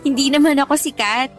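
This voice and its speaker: A woman's voice: high-pitched, fairly bright tone. A woman with a Filipino accent playing a friendly, forthright young woman on a first date in a radio drama, casual and playful. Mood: playful, flattered.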